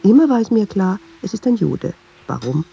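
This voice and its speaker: Very dark creaky voice, brooding self-questioning woman, wry, radio play, dull noisy recording. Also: medium pitch, sing-song, moderate pace. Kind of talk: radio play, introspective monologue.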